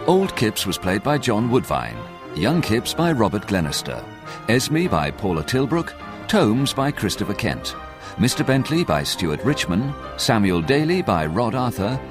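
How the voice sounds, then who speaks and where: A man reading scripted closing credits as a radio announcer. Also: lowish voice. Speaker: continuity announcer. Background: music.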